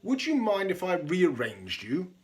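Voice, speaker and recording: Earnest gentle hermit crab, storybook character, acted by a man, slow courteous delivery, swooping pitch. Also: huge pitch swings, full character voice. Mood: admiring, hopeful.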